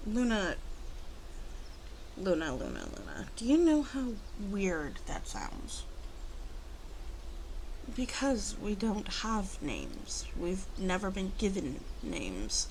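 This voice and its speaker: A woman playing a proud, wry female werewolf of the forest in an ASMR roleplay, teasing and faintly disdainful, speaking deliberately to the listener. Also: mid-range voice, wildly swooping pitch, darkish tone, slight background noise, heavily acted, rough recording. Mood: wry, hesitant.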